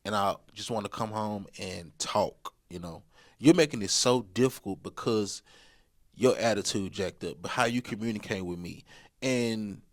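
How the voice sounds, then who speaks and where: A man's voice: fairly low pitch, moderate pace. Man in his thirties chatting casually. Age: 30s. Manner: rambling, repeats himself, colloquial, laughs often. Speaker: podcast co-host. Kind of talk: casual relationship advice talk.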